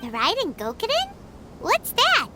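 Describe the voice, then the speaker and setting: Super high squeaky game fairy sidekick, little kid energy, voice jumps all over, music behind. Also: female voice, wildly swooping pitch, measured pace, exaggerated voice. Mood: puzzled. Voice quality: squeaky, childlike. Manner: exclamatory, speaks of self in third person. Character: tiny excitable childlike female floating companion sprite. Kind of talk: English video game voice acting.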